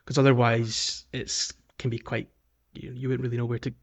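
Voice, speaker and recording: A man co-hosting a casual podcast chat about video games, talking in a hesitant, halting way. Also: medium pitch, level tone, gravelly. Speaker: podcast co-host.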